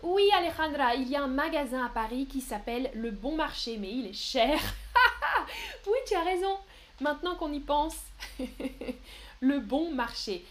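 A young woman with a high voice teaches French on a live stream, reading out viewers' questions. She speaks slowly and clearly, pausing to repeat examples. She sounds patient and encouraging throughout. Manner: slow, clear and patient, often reading chat names aloud. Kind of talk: live-streamed language lesson answering chat.

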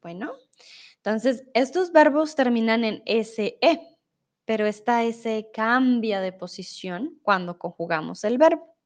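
A young woman with a Bogotá accent teaches Spanish on a live stream. Her voice sits a little high and she speaks slowly, explaining each point with patience. Now and then she stops to read viewer comments aloud. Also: expressive. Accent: Colombian, Bogotá. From Colombia. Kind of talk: live-stream language lesson reading chat.

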